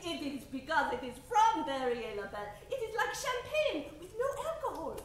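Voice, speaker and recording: A woman's voice: high-pitched, big pitch swings, normal speed, a little hiss or hum. A woman acting an eager French server in a comic old time radio advertising skit, with theatrical delivery. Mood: coaxing, cheerful. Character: eager French female server offering sparkling water. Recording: very echoey stage, distant mic.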